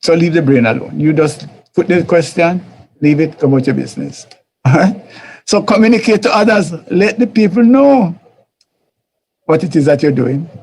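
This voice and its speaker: A man's voice: fairly high pitch, normal speed, darkish tone, breathy edge, rough recording. A man with a Jamaican accent giving an informal church teaching talk, conversational and repetitive, often chuckling and acting out quoted voices. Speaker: teacher of a church life series.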